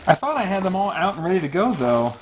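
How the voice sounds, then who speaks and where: Man with a slightly high voice, thinking aloud. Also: sing-song, slight background noise, low-quality recording. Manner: thinking aloud, halting, talking to himself. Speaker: host playing a solo tabletop game. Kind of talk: casual unscripted game play commentary.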